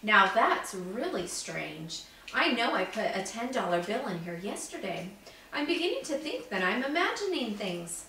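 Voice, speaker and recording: Echoey, expressive storybook guesthouse keeper voiced by a woman. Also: a little high, moderate pace, exaggerated voice. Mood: puzzled. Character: friendly, puzzled woman running a guesthouse. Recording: echoey room, distant mic, background noise.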